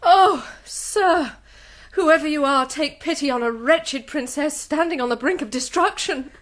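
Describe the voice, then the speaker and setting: An actress playing a distressed, virtuous young princess in a melodramatic, pleading gothic radio drama style. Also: female voice, high-pitched, unhurried, a bit bright. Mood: desperate, hopeful.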